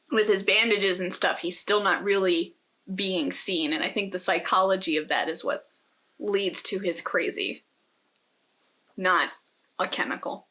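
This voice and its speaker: Woman, bright crisp-edged tone, mid-range pitch, casual book talk, opinionated podcast co-host.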